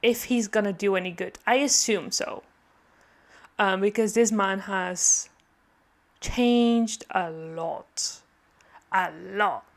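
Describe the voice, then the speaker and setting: A woman's voice: medium pitch, slow pace, long pauses. A woman giving casual reaction commentary on a game, musing aloud hesitantly and often repeating herself. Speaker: gaming reaction video creator.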